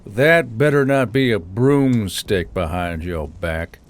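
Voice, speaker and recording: A man's voice: on the low side, lively intonation, slow pace, pitched below the performer's own voice. A man playing a wry, easygoing, teasing man in an audiobook drama. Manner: dry, teasing. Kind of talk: audiobook drama character acting.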